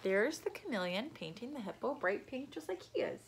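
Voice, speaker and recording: Young woman, higher-pitched voice, lively swooping intonation, children's read-aloud, explanatory asides. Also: normal speed, a little hiss or hum, low-quality recording. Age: young adult. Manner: animated, explanatory. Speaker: picture book reader. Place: small room at home.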